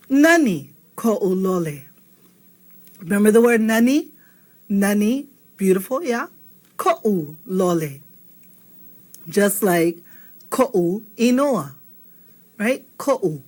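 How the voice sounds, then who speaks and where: A woman runs a relaxed video lesson on words, speaking at an ordinary pitch. Her delivery is chatty and encouraging, and she tags almost every phrase with a quick check-in question. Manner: chatty, checks in with "okay?" constantly. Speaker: Hawaiian language teacher. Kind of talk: casual video language lesson.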